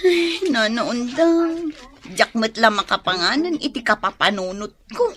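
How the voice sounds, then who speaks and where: A woman with an Ilocano Filipino accent playing a frazzled, quick-tempered beautician and nagging wife in a comic radio drama, whining and exclaiming. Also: fairly high pitch, expressive, fairly bright tone. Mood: worried. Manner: whiny, wailing exclamations. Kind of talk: comedic radio drama acting.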